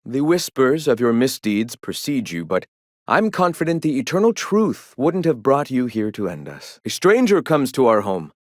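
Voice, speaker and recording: An actor playing a zealous philosopher who leads an anti-corporate rebel sect in a video game, speaking in lofty, measured, formal oratory. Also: male voice, medium pitch, sing-song, no room sound, studio quality, natural voice. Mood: serene, preacherly. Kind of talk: video game character dialogue.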